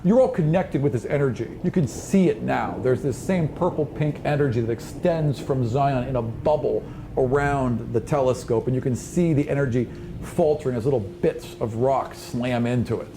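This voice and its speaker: A man's voice: mid-range voice, normal speed. A man running a tabletop roleplaying game, narrating scenes off the cuff in a conversational, vivid way. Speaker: game master. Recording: noisy room ambience behind voice.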